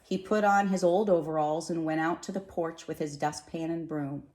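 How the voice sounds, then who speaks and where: Female storybook reader, slightly low voice, expressive children's read-aloud, noticeably roomy recording. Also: normal speed. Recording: echoey room.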